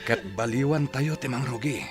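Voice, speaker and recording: A man's voice: mid-range voice, moderate pace. A man with an Ilocano accent announcing a radio drama in a dramatic, scripted style, with music behind him. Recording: music under the voice.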